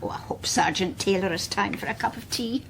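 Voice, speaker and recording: A woman's voice: mid-range voice, a little fast, gravelly, a bit bright, a little hiss or hum. An actress playing a fretful older Scottish mother who runs a guest house, chatty and fussing, in a radio drama. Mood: curious. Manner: chatty, fussing, mildly indignant. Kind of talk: naturalistic radio drama acting.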